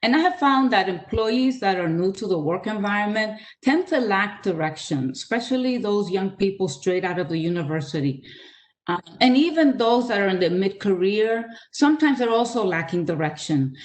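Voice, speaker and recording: An older woman, from the Dominican Republic by way of New York City, gives a measured career talk over a video call. She speaks earnestly in a mid-pitched voice, with frequent filler sounds. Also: lively intonation. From Dominican Republic, raised in New York City. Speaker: guest speaker, author. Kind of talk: webinar presentation.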